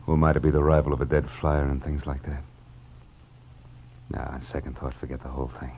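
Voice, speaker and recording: An actor playing a wry, world-weary private detective in 1940s radio noir style, clipped and sardonic. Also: male voice, a bass voice, level tone, brisk, slightly rough, full character voice. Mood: sardonic, wary. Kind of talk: 1940s radio noir acting with first-person narration. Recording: dull, hissy old radio recording with hum.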